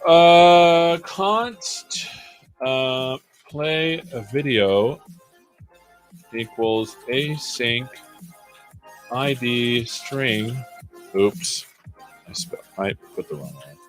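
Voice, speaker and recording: A man hosts a developer livestream, working through code live and talking it out as he goes. His voice sits at an ordinary middle pitch, and he often drops to a mutter when talking to himself. Soft music plays faintly behind him. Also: extremely slow, pauses often. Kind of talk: live coding, thinking aloud.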